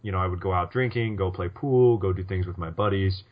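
A man's voice: low voice, fairly quick, highs cut off. A man co-hosting a poker podcast in casual chat, rambling with lots of restarts and fillers. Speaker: podcast co-host.

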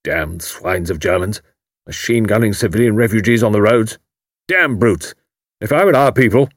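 A man's voice: lowish voice, lively intonation, moderate pace, no echo, clean studio sound. A man voicing a fire-eating old British army major, pompous and indignant. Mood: outraged. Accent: British English.

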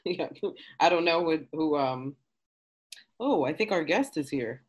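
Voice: mid-range voice, expressive, measured pace. Bubbly podcast host on a call. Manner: bubbly, laughs often. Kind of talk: casual chat interview. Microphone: video call.

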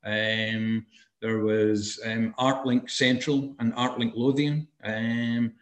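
A Scottish man in his sixties speaks at an ordinary pitch for a man. He talks thoughtfully over a video call and often pauses with ums and restarts. His voice carries the echo of the room he sits in. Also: little pitch movement. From Scotland. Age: 60s. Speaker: interviewee, arts and health practitioner. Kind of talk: oral history interview.

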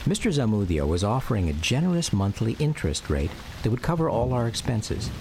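A man narrating a scripted radio drama about his family in a measured, literary storytelling manner. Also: lowish voice, sing-song, vocal fry, a little hiss or hum. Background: loud music under the voice.

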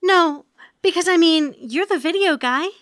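Woman reading as a high-voiced sarcastic sitcom wife. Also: a little slow, fairly bright tone, dry recording, clean studio sound. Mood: teasing. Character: animated sitcom wife and mother, sarcastic with husband. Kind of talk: sitcom script table read.

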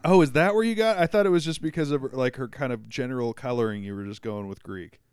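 A man's voice: mid-range voice, fast, darkish tone, clean tone, no echo, studio quality. A man co-hosting a podcast in casual chat, wry and exasperated and swearing freely. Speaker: podcast co-host.

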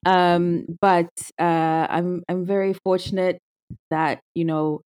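A young woman from Zimbabwe with a notably low voice talks through her story as a podcast guest. She is thoughtful and casual, often restarting her sentences as she thinks. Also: steady tone, slow pace, darkish tone, clear, resonant tone. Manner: thoughtful, with many restarts and fillers. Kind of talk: casual conversational interview.

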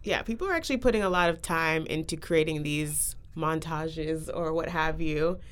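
A woman's voice: low-pitched, normal speed, clean tone. A young woman hosting a casual podcast, talking with guests and presenting trends. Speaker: podcast host.

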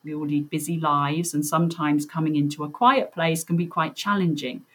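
A woman with a fairly low voice guides listeners through a breathing meditation. She speaks gently and reassuringly, in an unhurried way, with soft pauses between instructions. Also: husky.

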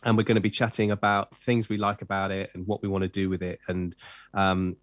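A man's voice: deep voice, little pitch movement, a little fast, dry recording. A middle-aged man who grew up in Wales, an author chatting enthusiastically in an interview with lots of fillers and restarts. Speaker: children's author and editor. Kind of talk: interview chat.

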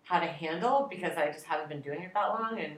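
A woman's voice: lowish voice, quick pace, roomy sound, low-quality recording. A woman co-hosting a podcast, telling a long self-deprecating story in a casual, rambling way. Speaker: podcast co-host. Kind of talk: casual chat.

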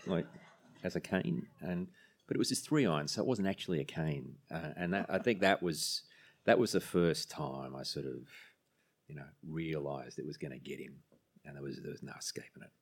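A man being interviewed, speaking haltingly and reflectively with many restarts. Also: a bass voice, slightly rough. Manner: halting, repeats words, soft reflective delivery. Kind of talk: casual conversational interview.

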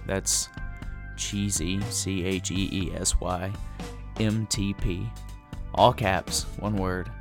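A young man in his twenties hosts a podcast with a hoarse, worn voice, upbeat and quick to laugh. He speaks on the low side of a man's range, and music plays clearly behind him. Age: 20s. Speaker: podcast host. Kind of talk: casual podcast chat.

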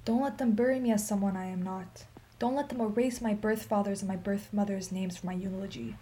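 An actress playing a sorrowful, dignified old Armenian woman in a radio play, telling her story in a solemn, measured, emphatic way. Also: medium pitch, steady tone, mellow, slight background noise, natural voice. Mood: pleading, resolute.

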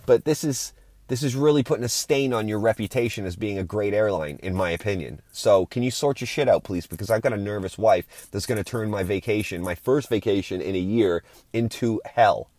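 Low-voiced man ranting casually on a podcast. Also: a little fast, a little mellow, no room sound. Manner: ranting, sweary, informal. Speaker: podcast host. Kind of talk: opinionated casual podcast monologue.

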